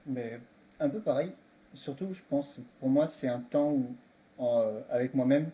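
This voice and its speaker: A man's voice: medium pitch, a little slow, mellow. A man of thirty-five from Belgium, sharing his view casually as a guest in a group discussion. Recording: faintly noisy room recording.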